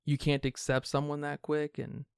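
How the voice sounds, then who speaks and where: A man's voice: fairly high pitch, monotone, normal speed, clear, resonant tone, no echo, clean studio sound. A man hosting a film review podcast in a casual, conversational way. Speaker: podcast host.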